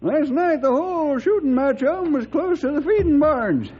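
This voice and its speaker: High-pitched folksy old cowboy. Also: male voice, expressive, moderate pace. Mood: cheerful. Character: excitable folksy old cowboy ranch hand. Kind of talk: old time radio drama acting. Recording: dull old-time radio recording.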